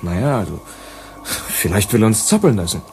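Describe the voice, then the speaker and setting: Anxious, expressive male assistant. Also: lowish voice, normal speed, gravelly, a little hiss or hum. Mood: worried. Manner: hesitant, cautious, eager to please. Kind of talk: German radio play acting.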